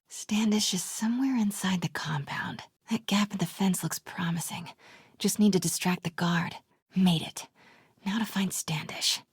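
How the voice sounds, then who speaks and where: A woman playing a determined, brave young reporter in a video game, breathy and often hushed. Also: on the low side, dry recording, studio quality, heavily acted. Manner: hushed, often whispering to herself.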